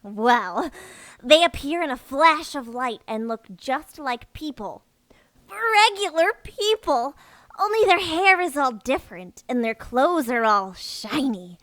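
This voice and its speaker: Female mole laborer, played by an actress, earnest and defensive, high forceful voice, audio drama. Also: lively intonation, takes it slowly, dry recording, heavily acted, poor audio. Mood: earnest, conspiratorial. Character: earnest, gullible, indignant young female moleperson worker.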